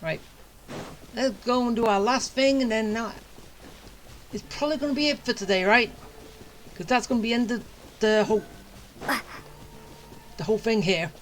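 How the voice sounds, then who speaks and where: A woman streaming a game with casual commentary, musing aloud in a halting way. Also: on the high side, a little hiss or hum, rough recording. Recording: game music behind the voice.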